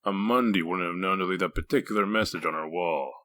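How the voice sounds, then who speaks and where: A man playing a gruff, humorless wolf-man detective in a comic dub, curt, stern and deadpan. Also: deep voice, fairly quick, no echo, clean studio sound. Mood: brooding. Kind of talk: comic dub character acting.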